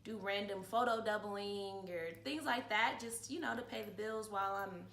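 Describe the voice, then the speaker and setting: A woman in her early thirties chats casually as an interview guest. Her voice has a medium pitch. It is recorded in an echoey room with faint background noise. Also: moderate pace, fairly bright tone. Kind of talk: casual personal chat.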